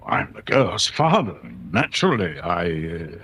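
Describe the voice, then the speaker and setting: Radio drama role for an older English councillor: low, husky, pompous and blustering. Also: male voice, big pitch swings, unhurried, a bit bright, heavily acted. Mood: indignant. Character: stuffy, testy older male town councillor.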